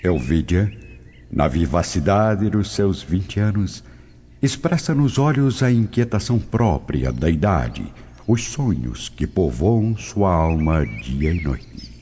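A man's voice: low voice, big pitch swings, slow, dark tone, breathy edge. A man narrating a radio drama from a script as a storyteller. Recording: dull lo-fi audio. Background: music.

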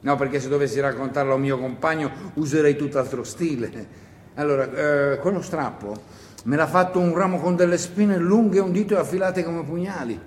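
Actor as stammering bashful partisan in echoey hall. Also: male voice, fairly high pitch, moderate pace, close to an everyday voice. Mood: boastful, bashful. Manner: hesitant, stammering, repetitive, colloquial interjections. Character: awkward, talkative young partisan man, bashful and eager. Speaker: actor and director performing a solo play. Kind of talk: theatrical dialogue, radio drama monologue. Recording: echoey theatre hall with faint noise.

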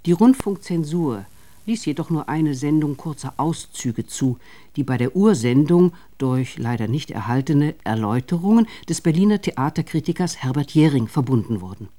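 Mellow male documentary narrator. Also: a little high, expressive. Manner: measured, formal delivery. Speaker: narrator of a radio feature.

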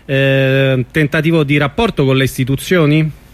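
A man's voice: mid-range voice, fairly even intonation, moderate pace. A man conducting an informal radio news interview, asking brief questions and murmuring acknowledgements as he listens.

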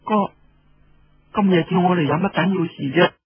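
A man voicing a warlord's concerned younger sister, asking gentle questions in an audiobook story. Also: high voice, steady tone, moderate pace, husky, no echo, phone-quality sound, pitched above the performer's own voice.

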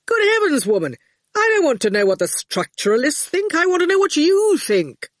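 A woman voicing an excitable middle-aged male lecturer who yells emphatically. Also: high voice, normal speed, dry recording, clean studio sound, voice pushed up. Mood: exasperated. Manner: yelling, emphatic.

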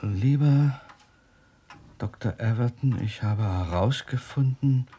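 An actor playing an earnest doctor investigating a mystery in a German radio drama, with a husky voice and deliberate, intense delivery. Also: male voice, medium pitch, warm tone, slight background noise, natural voice. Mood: grave, deliberate. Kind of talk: German radio drama acting, reading aloud.

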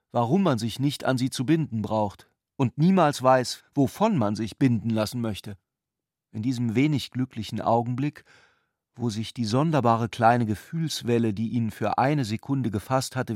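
A man reading literary narration for a radio play in a measured, deliberate and sober manner. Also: mid-range voice, dry recording, clean studio sound.

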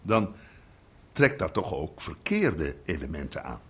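Curt, formal alderman, lively intonation. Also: rumbling low, husky, exaggerated voice. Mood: stern, probing. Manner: measured, official, curt. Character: formal, probing city alderman, curt and authoritative. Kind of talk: naturalistic audio drama acting.

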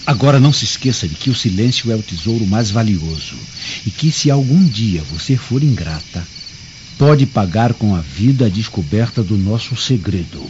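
Dull, noisy radio-drama audio carries an actor playing a male conspirator of ancient Rome. His Brazilian-accented voice is low, grave and threatening, that of a menacing schemer. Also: animated, moderate pace, natural voice. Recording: dull lo-fi audio with clear background noise.

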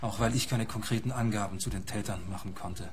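A man's voice: on the low side, fairly quick, a little hiss or hum, close to an everyday voice. An actor playing an earnest, traumatised and stubborn male client in a radio play, speaking seriously and insistently. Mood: earnest, troubled.

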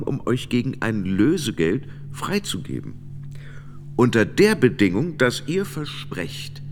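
An actor playing a grave middle-aged French knight giving measured, formal witness testimony in a radio drama. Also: male voice, deep voice. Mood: intense, quoting. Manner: measured, deliberate, formal phrasing with pauses. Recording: long reverberant hall, music underneath. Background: music.

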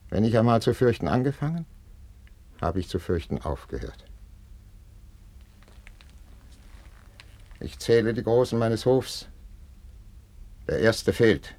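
A man's voice: on the low side, natural voice. An actor playing a stern, jealous, grey-haired king in a 1950s classical radio drama, declaiming with weight and command. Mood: suspicious, commanding. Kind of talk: 1950s German stage-style radio acting of classical verse.

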